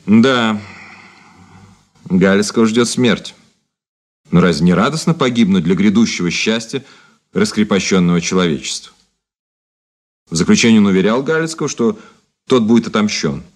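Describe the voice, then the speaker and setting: A male actor playing a cynical, mocking former detective officer in a Soviet radio drama, with a theatrical, measured delivery. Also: lowish voice, lively intonation. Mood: grave, measured.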